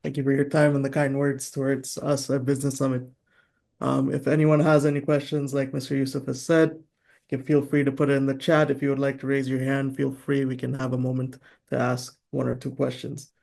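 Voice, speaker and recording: A man's voice: medium pitch, monotone, brisk, gravelly, darkish tone. A man hosting an online business event, thanking a guest and moving things along politely and formally. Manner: polite, formal, some fillers. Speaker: event host.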